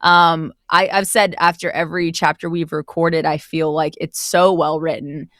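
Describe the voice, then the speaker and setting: A woman's voice: sits low, flat delivery, normal speed, fairly bright tone, no echo, studio quality. A woman speaking casually as an interview guest who narrates audio drama, explaining things in a reflective way.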